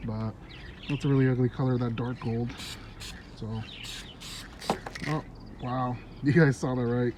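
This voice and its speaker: A man's voice: medium pitch, unhurried, long pauses. Stuffy-nosed man, casual car vlogger talking to his phone outside, easygoing, faint outdoor noise. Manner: relaxed, chatty, laughs often. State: congested, stuffy nose. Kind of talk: casual how-to vlog narration. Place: outdoors in a yard. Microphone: phone held while working.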